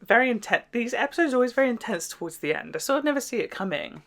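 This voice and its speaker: A woman's voice: a little high, expressive, rapid-fire. A young woman hosting a reaction video, giving a casual spoken review in a chatty, rambling way full of fillers.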